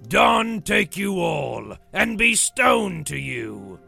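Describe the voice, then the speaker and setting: A man voicing a quarrelsome, rough troll with a harsh, gravelly rustic voice for an audiobook. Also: fairly high pitch, big pitch swings, slow, bright, forceful tone, soft background music, voice pushed up, full character voice. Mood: cursing. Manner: bickering, ungrammatical rustic speech. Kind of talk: audiobook character voice.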